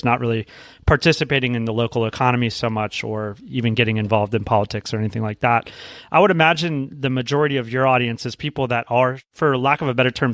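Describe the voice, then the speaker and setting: A man's voice: mid-range voice, fairly bright tone, dry recording, clean studio sound. An American man hosting an interview in a casual, inquisitive way, thinking aloud as he builds his questions. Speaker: podcast host. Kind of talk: conversational interviewing.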